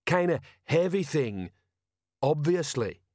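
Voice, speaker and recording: A big, slow-witted constable from a comic fantasy audiobook, acted out by a man. He talks in halting, musing bursts with a gloomy temper, pushed louder and higher than plain narration. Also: very animated, no room sound, studio quality, full character voice. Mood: evasive musing. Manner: halting, dim, musing, trailing pauses.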